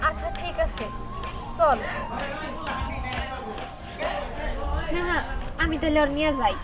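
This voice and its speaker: Extremely high girlish voice, acted by a woman, poor cartoon sister, pleading, earnest, strong background music. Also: fairly quick, over a noisy crowd, lightly acted. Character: poor, pleading young girl minding her hungry brother. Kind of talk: Bengali cartoon dubbing.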